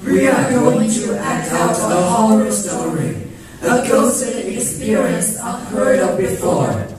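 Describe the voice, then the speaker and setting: Woman radio announcer, slow singsong intro, swooping pitch, loud music and big echo. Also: expressive. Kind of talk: scripted radio show introduction. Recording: echoey hall, distant mic, loud music.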